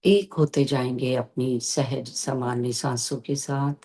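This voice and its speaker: Slow-paced guided meditation teacher. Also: medium pitch, little pitch movement. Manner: unhurried, gentle instructing with long pauses. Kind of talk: guided meditation instruction in Hindi.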